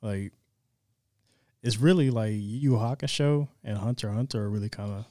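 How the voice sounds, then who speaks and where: A man co-hosting a podcast, getting excited and stumbling over his words. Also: on the low side, normal speed, no echo, clean studio sound. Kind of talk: casual chat.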